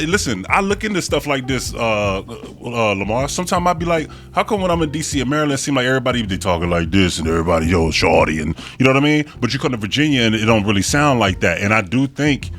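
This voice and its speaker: A man with a deep voice chatting casually as a podcast guest in an animated, slangy, emphatic way. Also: fast, soft background music. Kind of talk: casual conversation.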